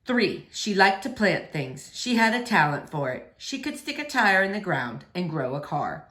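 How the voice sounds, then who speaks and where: In a roomy space, a woman plays a melancholy middle-aged dad, a preacher from a children's novel. The voice drops low and goes measured and subdued, quietly weighing each phrase. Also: sing-song. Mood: reflective. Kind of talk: children's novel read aloud.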